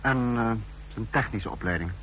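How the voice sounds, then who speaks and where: Measured, authoritative male leader played by an actor. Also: medium pitch, a bit bright, lightly acted. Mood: measured, appraising. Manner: terse, formal, authoritative. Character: measured male head of state, quietly authoritative. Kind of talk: radio play acting. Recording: noisy old radio play recording.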